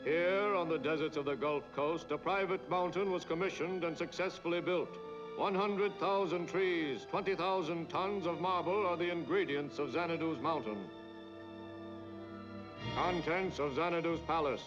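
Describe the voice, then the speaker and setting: A man's voice: a little high, moderate pace, close to an everyday voice. An American man playing a pompous, portentous newsreel announcer, declaiming in grand 1940s style over music. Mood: grand, solemn. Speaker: newsreel narrator. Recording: dull 1940s film soundtrack, loud music. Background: loud orchestral music.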